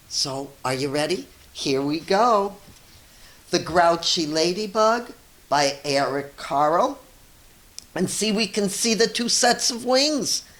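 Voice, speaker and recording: A middle-aged or older woman teacher reading a storybook to children, explaining things simply and addressing young listeners directly. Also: lowish voice.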